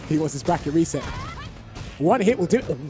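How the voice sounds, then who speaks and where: Fast, excitable male esports commentator. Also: a little high, over music. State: losing his voice.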